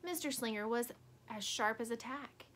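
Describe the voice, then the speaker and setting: A woman reading a children's storybook aloud expressively, with deliberate dramatic emphasis. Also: on the high side, fairly bright tone, a little hiss or hum. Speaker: storybook reader.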